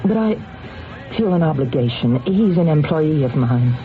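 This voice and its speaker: An actress playing a scheming businesswoman who feigns innocence, halting and theatrical, in an old-time radio drama. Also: deep voice, animated. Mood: composed, polite. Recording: muffled vintage radio with music behind.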